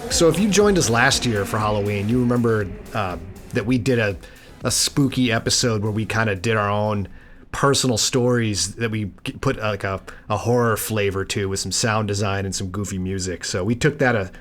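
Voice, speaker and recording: A man's voice: lowish voice, moderate pace, faint music behind. A man talking casually and conversationally as the co-host of a podcast.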